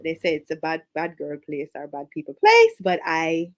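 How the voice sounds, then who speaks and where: A Jamaican woman with a voice sitting a little low talks through an interview in a relaxed, chatty way. She laughs easily and drifts into fillers between her thoughts. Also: moderate pace, no room sound. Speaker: guest. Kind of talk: casual interview conversation.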